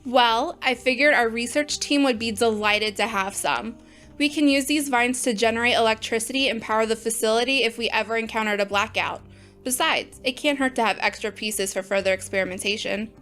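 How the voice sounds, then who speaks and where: A woman's voice: on the high side, a little fast, fairly bright tone, close to an everyday voice. A woman playing a cheerful, practical research instructor who talks in a breezy way, with faint music behind. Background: faint background music.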